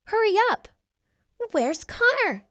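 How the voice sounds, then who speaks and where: Woman voicing an indignant, high-pitched little girl hero. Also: very animated, slow pace, a bit bright, no echo, dull audio, heavily acted. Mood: eager. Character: indignant young girl superhero, hurt and stubborn.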